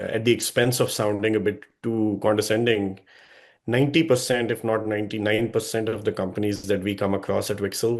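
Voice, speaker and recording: Guy with a lowish voice giving a business talk, earnest and direct, keeps restarting sentences. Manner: direct, earnest advice with frequent self-corrections. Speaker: guest speaker on business strategy. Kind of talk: advisory talk to business owners.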